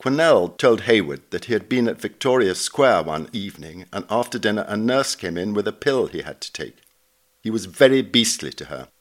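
A man reading written notes aloud as a scripted narrator, dramatising the recollections as he goes. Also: on the low side.

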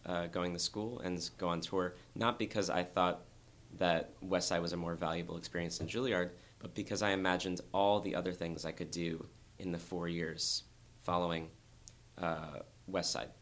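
A young man, a dancer, talking earnestly and thoughtfully in an interview, with a very low voice and frequent restarts. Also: little pitch movement, moderate pace, fairly bright tone. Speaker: dancer guest. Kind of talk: reflective interview talk.

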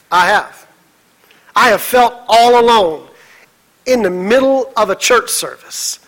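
A man's voice: very high voice, fairly bright tone, rough recording. A middle-aged man preaching a sermon as a pastor, emphatic, with repeated exclamations and rhetorical questions.

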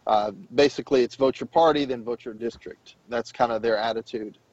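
A man's voice: mid-range voice, fairly even intonation, a little fast, darkish tone, no echo. A man in his thirties co-hosting a political podcast, chatting casually and opinionated, full of "you know" asides. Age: 30s. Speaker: podcast co-host. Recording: dull lo-fi sound.

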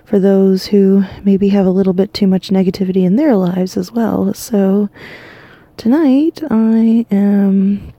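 A woman hosting a bedtime podcast from her bed, exhausted and losing her voice, talking softly and drowsily in a rambling half-whisper. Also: medium pitch, no echo. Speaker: podcast host. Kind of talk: sleepy bedtime monologue. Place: lying in bed at home.

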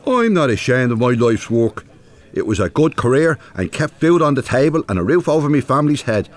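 Actor as animated older Dublin binman, plain-spoken. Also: medium pitch, fairly quick, lightly acted. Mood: proud, earnest. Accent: north Dublin. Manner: earnest, folksy, bantering. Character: plain-spoken, good-hearted older retired binman, naive and chatty. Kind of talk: naturalistic radio drama acting.

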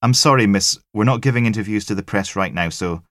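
A man voicing a gruff, curt male detective inspector giving orders in a crime audiobook. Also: on the low side, a little fast, a bit bright, dry recording, clean studio sound, pitched above the performer's own voice, close to an everyday voice.

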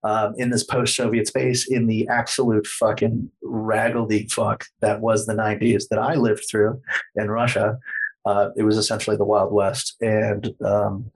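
A man's voice: fairly low pitch, flat delivery, moderate pace, breathy edge, rough recording. A man talking as a podcast guest, explaining history at length in a lecturing way, hedging often with plenty of fillers.

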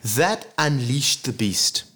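Man, from Zambia and Zimbabwe, interview guest, earnest, reflective, restarts often, mid-pitched. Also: expressive, takes it slowly, pushed and bright, breathy edge. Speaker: guest, musician.

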